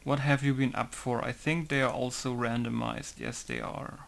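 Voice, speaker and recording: A man's voice: medium pitch, steady tone, normal speed, vocal fry. A young man, casually rambling and muttering to himself while working on a game mod tutorial. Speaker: game modder.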